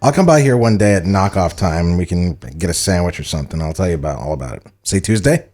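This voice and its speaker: A man's voice: low-pitched, lively intonation, quick pace, darkish tone, faint music behind, voice pushed down. A man at a script table read playing a friendly, casual, slightly sheepish animator.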